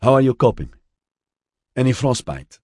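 A man voicing a gruff, cheery, weathered woman in her sixties with a northern Mediterranean accent in an audiobook. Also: mid-range voice, very animated, normal speed, a little mellow, no room sound, studio quality, pitched above the performer's own voice. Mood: hearty, welcoming.

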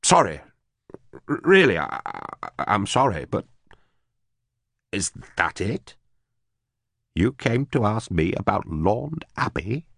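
A man's voice: low-pitched, lively intonation, moderate pace, gravelly, no room sound, studio quality, heavily acted. A man doing a nervous, broken ex-official. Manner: pleading, nervous, stammering, bitterly ironic. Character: frightened, broken former official man, bitter. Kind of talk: audiobook character voice.

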